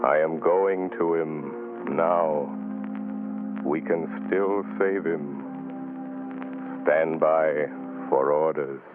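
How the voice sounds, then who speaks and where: Mysterious male crime-fighter, very low voice, slow ominous delivery, tinny 1930s radio, faint hiss. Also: over music, heavily acted. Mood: commanding.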